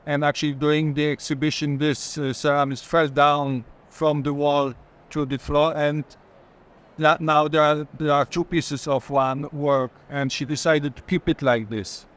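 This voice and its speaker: A man informally explaining an artwork, halting and restarting his phrases. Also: a little high, level tone, fairly bright tone. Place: art fair booth, walking tour.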